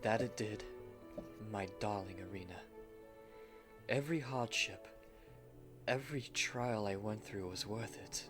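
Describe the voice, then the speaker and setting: Man acting a devoted young gentleman groom, formal and romantic, lowish pitch, clear music behind, anime fan roleplay. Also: measured pace, pauses often, lightly acted. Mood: tender, devoted. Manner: formal, romantic, courteous speech.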